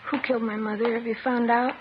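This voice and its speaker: An actress playing a shaken, bitter teenage girl in a 1950s radio drama, halting and subdued. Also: fairly high pitch, little pitch movement, natural voice. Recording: muffled, slightly noisy old radio recording.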